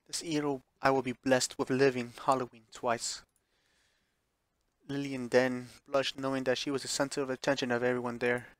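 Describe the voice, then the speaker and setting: A man's voice: medium pitch, flat delivery, normal speed, dry recording. A man streaming a visual novel, reading it aloud with offhand reactions and correcting himself when he stumbles over words. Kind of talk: live playthrough read-aloud with offhand reactions.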